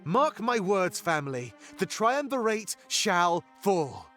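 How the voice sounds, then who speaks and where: An actor playing a fervent rebel leader in a fantasy video game, giving grandiose, oratorical speeches. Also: male voice, high-pitched, sing-song, slow, fairly bright tone, exaggerated voice. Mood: fiery, vengeful. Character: fervent male rebel leader, an anthropomorphic animal rallying his fighters. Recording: music behind the voice. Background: music.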